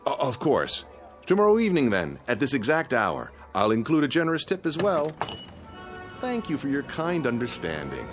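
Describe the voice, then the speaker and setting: A fussy, pompous freeloader in a radio play. His pitch swoops wildly through elaborately polite, formal phrases, with light music behind him. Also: huge pitch swings, normal speed. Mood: flustered, smooth-talking. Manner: overly polite, formal, theatrical. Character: fussy, pompous, penny-pinching male freeloader. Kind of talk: radio drama acting.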